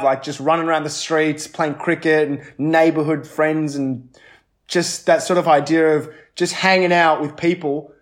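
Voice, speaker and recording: An Australian man with a voice a little higher than most men's. He chats casually and tells personal stories in an animated way. His sentences often break off and start again as he thinks. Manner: animated, halting, often restarts mid-thought. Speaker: comedian. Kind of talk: casual chat, personal storytelling.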